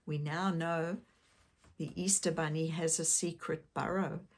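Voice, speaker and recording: A woman's voice: deep voice, steady tone, measured pace. A woman reading a children's story aloud expressively, addressing young listeners directly with questions. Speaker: children's story reader, channel host.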